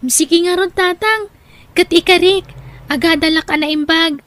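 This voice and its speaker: Woman acting as high-voiced, gentle Ilocano daughter. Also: fairly even intonation, measured pace. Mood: hopeful, tender. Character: gentle, dutiful young daughter eager to study. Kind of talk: Ilocano radio drama acting.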